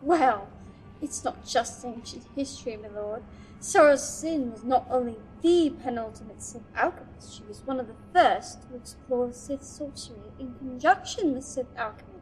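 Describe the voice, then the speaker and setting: A woman's voice: a little high, moderate pace, a little mellow, soft background music, lightly acted, poor audio. A woman playing a nervous, eager, scholarly archive curator in a sci-fi audio drama, stammering and deferential. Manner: nervous, stammering, deferential, bossy to her assistant. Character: flustered, eager scholarly female archive curator serving a Sith. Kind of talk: fan audio drama acting.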